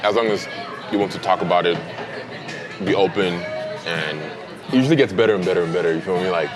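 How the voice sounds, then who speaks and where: A man's voice: low-pitched, fast talker. A young man from Nigeria with a Nigerian accent, chatting casually and laughing easily on a blind date game. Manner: relaxed, laughs easily, repeats phrases. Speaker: dating game player. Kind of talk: casual conversation. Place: outdoor city restaurant. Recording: music and crowd behind. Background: music and chatter.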